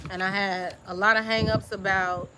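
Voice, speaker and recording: A woman's voice: mid-range voice, normal speed, noisy background. A woman YouTube creator talking through old journal entries in a casual live-stream chat, confiding and reflective, often checking in with her listeners with "okay?". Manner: relaxed informal speech.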